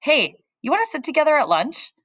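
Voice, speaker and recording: A woman's voice: sits high, sing-song, fairly quick, fairly bright tone, no room sound, studio quality, voice pushed up. A woman voicing a confident, friendly schoolgirl who speaks frankly.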